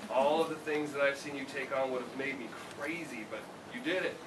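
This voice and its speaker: A man's voice: medium pitch, moderate pace, lightly acted. A man playing a playful, sentimental old father with a teasing manner in a staged play reading. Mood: fond. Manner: teasing, chuckling. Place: theater stage, recorded from the audience. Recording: distant, echoey, noisy live theater recording.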